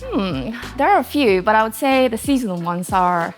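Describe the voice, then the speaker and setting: Female florist contestant from Romania, fairly high voice, music beneath. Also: expressive, moderate pace. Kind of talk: interview answers.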